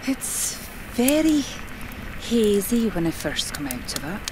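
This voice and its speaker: A woman playing a polite spirit medium in an audio horror drama, speaking in a measured, formal way. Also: medium pitch, big pitch swings, background noise. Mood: weary. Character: composed, polite female spirit medium leading a séance.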